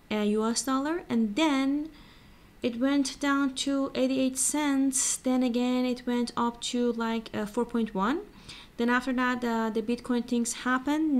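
A woman giving a casual, hesitant and rambling screen-share walkthrough as an online vlogger. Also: on the high side. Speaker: crypto vlogger. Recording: clear background noise.

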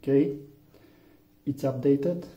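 A man from Romania with a Romanian accent, English not his first language, giving a halting phone tutorial with many fillers. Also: mid-range voice, steady tone. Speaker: tech tutorial maker. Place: room indoors, phone on a table. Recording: echoey, dull lo-fi room.

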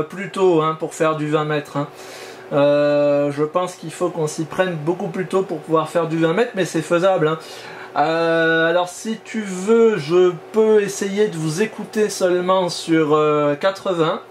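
A fairly high-voiced man is making a casual amateur radio contact. He speaks informally and easily. There is clear background noise around him. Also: fairly even intonation, measured pace. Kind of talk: casual ham radio exchange.

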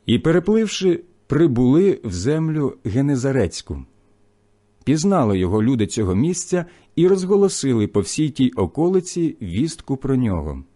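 A man reading scripture aloud as solemn scripted narration, in a measured, clearly phrased way. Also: mid-range voice, sing-song, mellow. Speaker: scripture reader.

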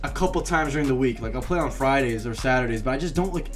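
A man's voice: medium pitch, fast talker, faint music behind. A young man in his late teens rambling casually over a pack-opening reaction. He uses lots of slang and fillers, and his voice is wearing thin. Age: young adult. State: losing his voice. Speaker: YouTube gaming creator.

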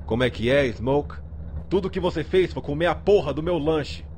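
A man's voice: fairly high pitch, lively intonation, moderate pace, darkish tone, close to an everyday voice. A Brazilian man voicing a hot-tempered, foul-mouthed gangster in a crime game fan dub, confrontational. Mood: irritated. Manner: swearing, confrontational. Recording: noisy game audio with vehicle sounds.

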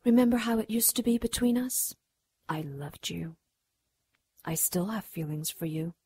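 A woman's voice: on the low side, expressive, moderate pace, warm tone, breathy edge, no room sound, exaggerated voice. An actress playing a seductive, imperious demon queen in a radio drama, cruel and mocking, moving between honeyed coaxing and haughty command. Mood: sweetly coaxing.

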